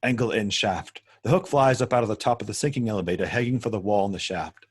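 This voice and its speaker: A man's voice: on the low side, quick pace, breathy edge. A man narrating stage directions in a script table read, matter-of-fact and occasionally stumbling over words.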